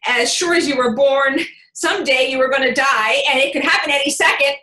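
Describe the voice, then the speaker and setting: A woman acting a tender, excitable new mother in her thirties, telling her baby a story in an intimate, halting theatrical monologue. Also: high-pitched, reverberant. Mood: anxious, exasperated. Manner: emotive, halting, intimate storytelling with dramatic swells.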